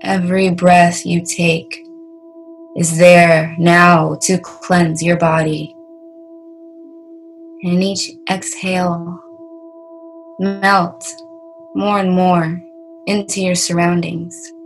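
A woman guides a meditation extremely slowly in a slightly low voice. She is soothing and deliberate, with long pauses, over meditative singing bowl tones. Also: little pitch movement, soft background music, poor audio.

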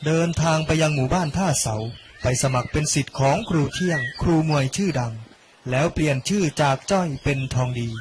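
A young man reading a scripted narration for an animated story. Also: on the high side, a little fast, noisy background. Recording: loud music under the voice. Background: loud background music.

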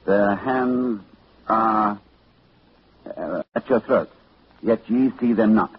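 An actor playing a scholarly librarian who narrates in a 1940s radio drama, halting and hesitant. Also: male voice, fairly low pitch, husky. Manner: hesitant, halting when reading. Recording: dull old radio broadcast with music.

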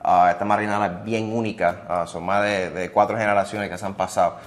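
A man, a restaurant owner answering interview questions in a relaxed way, dropping in English words. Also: on the low side, a little fast. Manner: relaxed, many "ah" fillers, mixes in English words. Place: indoors at a restaurant bar.

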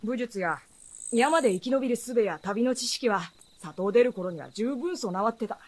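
A woman's voice: fairly high pitch, animated, moderate pace. A woman playing a guarded, knowing adolescent boy in a Japanese fantasy radio drama, speaking in a boyish, matter-of-fact way.

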